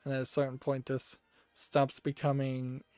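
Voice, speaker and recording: A man hosting a game news channel, giving casual commentary while browsing store reviews and musing aloud with frequent pauses and hesitations. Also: medium pitch, completely flat delivery, a little mellow, clear, resonant tone.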